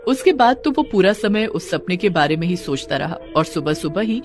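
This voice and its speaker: A woman narrating a cartoon story in an expressive storytelling manner. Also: fairly low pitch, moderate pace. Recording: background music under voice.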